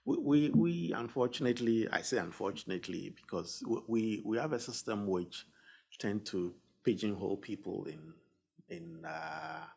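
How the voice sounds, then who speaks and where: Gravelly low-voiced man, measured. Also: big pitch swings, a little mellow. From Ghana. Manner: measured, many pauses and fillers. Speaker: guest professor. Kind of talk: conversational interview.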